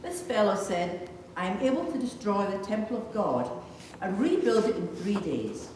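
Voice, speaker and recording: A woman's voice: medium pitch, very animated, normal speed, a little mellow. A woman reading several parts in a dramatised Bible reading. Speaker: scripture reader of several minor parts. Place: large reverberant church. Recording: very echoey church, distant mic, faint noise.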